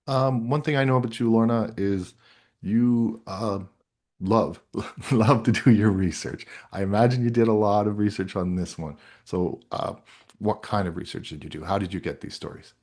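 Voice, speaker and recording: A man's voice: fairly low pitch, normal speed, a little mellow. A man acting as interviewer, putting questions to a guest in a conversational style. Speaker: interviewer, host.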